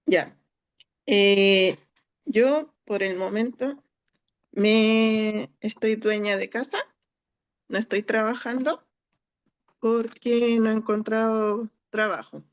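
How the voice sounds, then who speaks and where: A woman's voice: fairly high pitch, fairly even intonation, slow, pauses often, smooth. A woman introducing herself plainly and modestly as a student in an online class. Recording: dull lo-fi audio.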